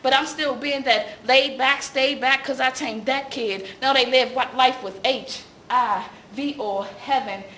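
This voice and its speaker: High, bright-voiced woman performing slam poetry. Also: steady tone, unhurried, hardly any pauses. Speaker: slam poet. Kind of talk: slam poetry performance, rhythmic rhyming spoken word. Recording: echoey room sound with faint noise.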